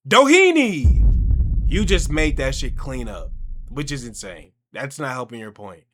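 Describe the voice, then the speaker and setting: A young man with a mid-pitched voice hosts a casual reaction commentary. He talks in an animated, conversational way with plenty of slang. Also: a little slow, noisy background. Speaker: reaction video host.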